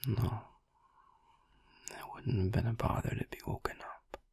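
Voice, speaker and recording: A man playing a gentle, devoted vampire boyfriend in an intimate ASMR roleplay, whispering breathily and soothingly with long pauses. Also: dry recording, lightly acted. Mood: tender, soothing.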